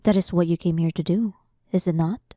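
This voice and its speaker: A woman playing a powerful demon queen in an audio roleplay, dominant, sarcastic and playfully condescending, often dropping into breathy half-whispers. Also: fairly low pitch, fairly even intonation, a little fast, warm tone, dry recording, clean studio sound, close to an everyday voice. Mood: taunting, coaxing. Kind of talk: scripted audio roleplay addressed to the listener.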